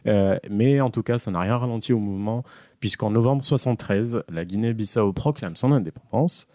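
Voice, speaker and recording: Low-voiced man telling history. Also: a little fast, darkish tone, no room sound, studio quality. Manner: joking, trails off with many hesitations. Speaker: podcast host presenting a history segment. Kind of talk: casual podcast storytelling.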